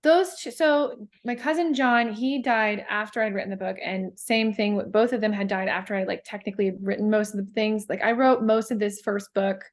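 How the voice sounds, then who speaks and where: A woman poet talking in a casual interview, thoughtful and halting, with many fillers and restarts. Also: medium pitch, steady tone. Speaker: guest poet.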